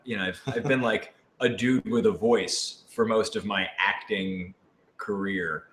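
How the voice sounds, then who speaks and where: A man with a low voice speaks in an echoey room over audible noise. He is a voice actor on an informal panel, talking in an animated, self-deprecating way. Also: a little slow. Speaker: voice actor in an audio drama. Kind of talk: casual Q&A panel chat. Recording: roomy echo with clear background noise.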